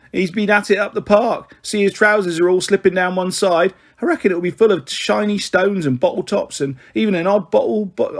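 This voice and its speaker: A man's voice: high-pitched, pitched above the performer's own voice. A man reading a storybook aloud and voicing a chatty, kindly working-class mother who fusses over children. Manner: fussing, affectionate, scolding.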